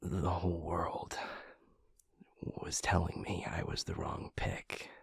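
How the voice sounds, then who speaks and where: A man playing an earnest, vulnerable young man in an intimate audio drama, speaking haltingly in a husky, breathy murmur. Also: low-pitched, fairly even intonation, whispered, full character voice. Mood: tender, sincere. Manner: halting, hesitant, stammering. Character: earnest, vulnerable young man, loyal pack second-in-command.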